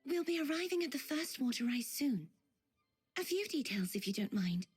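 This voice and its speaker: Fantasy game guide: gentle, earnest maiden, high and breathy, formal courtesy. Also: female voice, sing-song, normal speed, exaggerated voice. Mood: polite. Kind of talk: scripted video game voice acting. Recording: music behind.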